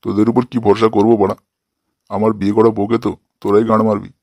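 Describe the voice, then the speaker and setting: Cartoon grandpa, played by a man: lonely, grumbling, foul-mouthed, lower register. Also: flat delivery, fast talker, a little mellow, no room sound, clean studio sound. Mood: indignant. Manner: complaining, coarse, swearing. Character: grumpy, lonely old grandpa wanting a bride. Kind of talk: comic cartoon dialogue.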